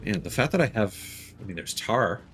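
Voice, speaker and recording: A man giving casual live commentary on a video game, musing and thinking aloud in a halting way. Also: lowish voice, sing-song, fairly bright tone. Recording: clearly noisy, game sound underneath. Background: video game ambience.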